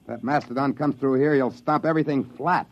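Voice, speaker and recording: A man's voice: medium pitch, a little fast, lightly acted. Blunt, wary adventurer in vintage radio drama man acting. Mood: tense. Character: wary, practical male adventurer in the prehistoric wild. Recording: dull, muffled vintage radio recording.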